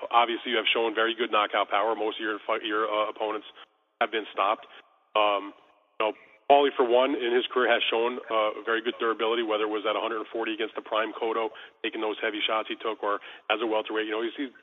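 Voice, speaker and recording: Guy reporter, talks quick and wordy, bit raspy, on a thin echoey phone line. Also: on the low side. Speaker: sports reporter. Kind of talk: asking long interview questions on a conference call. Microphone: telephone. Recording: phone conference line, tinny and reverberant.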